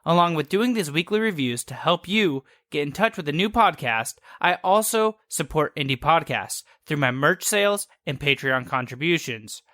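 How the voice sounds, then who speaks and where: Man, podcast host, scripted promo read, higher-pitched, bright forceful tone, dry close sound. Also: lively intonation, normal speed, hardly any pauses, no echo.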